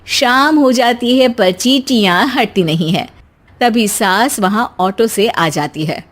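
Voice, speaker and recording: A woman's voice: fairly high pitch, slow pace. A woman narrator telling a scripted storybook tale.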